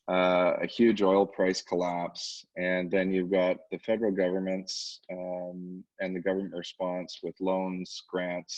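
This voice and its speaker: A man speaking haltingly as a portfolio manager, giving market commentary on a conference call. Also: low-pitched, a little mellow, dry recording. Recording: slightly dull conference line.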